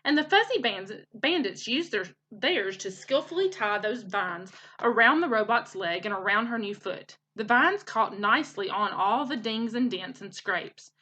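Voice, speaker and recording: Animated, bright-voiced woman reading a storybook. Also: medium pitch, a little slow, hardly any pauses. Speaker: teacher reading aloud. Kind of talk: animated children's storybook narration.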